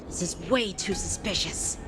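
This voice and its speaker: A woman's voice: lowish voice, slow pace, music in the background. A woman voicing an alert, resourceful young heroine in a video game, earnest and urging. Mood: puzzled. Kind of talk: video game character acting.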